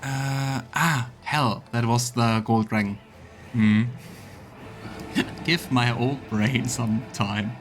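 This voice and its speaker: A man's voice: mid-range voice, fairly even intonation, drawn-out words. A man giving casual game commentary as a let's play streamer, speaking into a close mic. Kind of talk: casual game commentary mixed with reading dialogue aloud. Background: game music.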